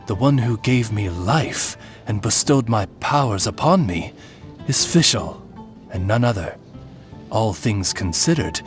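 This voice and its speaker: Male actor as a loyal game familiar raven, medium pitch, formal, deferential, wry, background music. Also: close to an everyday voice. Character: courtly, dryly witty male raven familiar, loyal servant. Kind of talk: video game character voice lines.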